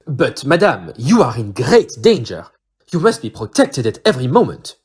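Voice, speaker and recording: A performer playing a courteous, shrewd Belgian male detective with a French-speaking Belgian accent, husky voice and formal, theatrical manner in an audio play. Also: medium pitch, sing-song, normal speed, a little mellow, close to an everyday voice. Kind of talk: amateur audio play acting.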